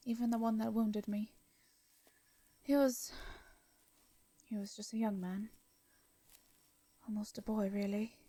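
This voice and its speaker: Woman as a soft, mellow English vampire lady. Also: medium pitch, close to an everyday voice. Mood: wounded, sorrowful. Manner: hesitant, stammering, formal old-fashioned speech. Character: gentle, anxious, centuries-old female vampire. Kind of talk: intimate fantasy audio roleplay.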